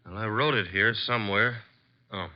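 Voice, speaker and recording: An actor as a low-voiced wisecracking detective's sidekick. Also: male voice, lightly acted. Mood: brisk, wry. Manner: brisk, wry, matter-of-fact. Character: brisk, wisecracking male detective's assistant. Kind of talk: 1940s-50s radio mystery acting. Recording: dull vintage radio recording.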